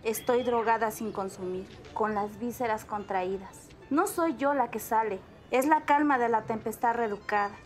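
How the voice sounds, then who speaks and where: A woman reciting a poem in a slow, solemn, declamatory way. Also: on the high side, soft background music. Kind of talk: poetry recitation.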